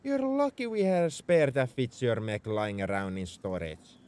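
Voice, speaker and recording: A man's voice: mid-range voice, expressive, normal speed, darkish tone, clear, resonant tone, lightly acted. A man playing a young tsundere spaceship mech engineer in an audio roleplay, defensive about his feelings as he speaks straight to the listener. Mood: exasperated scolding. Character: gruff-caring tsundere male mech engineer, young adult. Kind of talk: ASMR roleplay acting, addressed to the listener.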